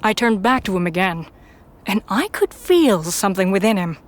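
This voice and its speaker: A woman's voice: medium pitch, lively intonation, moderate pace. A woman reading scripted first-person story narration for an audio drama.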